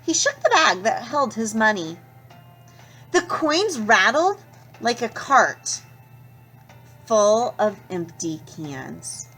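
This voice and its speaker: A woman teacher reading a children's picture book aloud, expressive and stopping to ask young listeners questions. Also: fairly high pitch, takes it slowly, pauses often, faint music behind. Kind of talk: children's storybook read-aloud.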